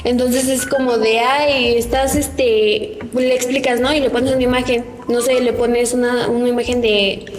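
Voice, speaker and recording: A woman's voice: a little high, level tone, normal speed, few pauses. A woman in a casual group podcast, explaining her points with many fillers. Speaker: podcast panelist. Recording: echoey room with music behind.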